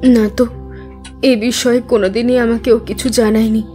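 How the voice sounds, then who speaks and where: Audio drama role: grieving young widow female actor, slightly high voice, terse and choked-up under questioning. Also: level tone, normal speed, mellow, faint music behind, close to an everyday voice. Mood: guarded. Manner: curt, guarded, choked-up answers. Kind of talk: scripted audio drama acting.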